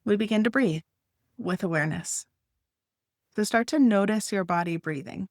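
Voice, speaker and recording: A woman leading a guided meditation, speaking gently in short, unhurried phrases with long pauses. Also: medium pitch, no echo, clean studio sound.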